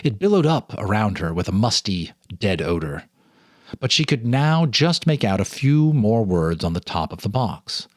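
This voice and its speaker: Male storyteller with a lowish voice, deliberate and dramatic for ghost tales, recorded dry. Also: big pitch swings, dry recording. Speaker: host and narrator reading a story. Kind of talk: dramatic ghost story reading with casual asides. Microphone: speaking to camera, reading off a teleprompter.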